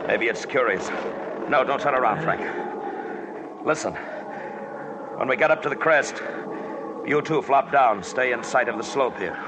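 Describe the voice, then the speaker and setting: An actor playing a tough, resolute mountain climber in a 1950s radio drama, with somber, suspenseful dramatic phrasing, on a very noisy old recording. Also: male voice, medium pitch, measured pace, pauses often, natural voice. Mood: tense, commanding. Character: tough, resolute male mountain climber recounting a doomed expedition.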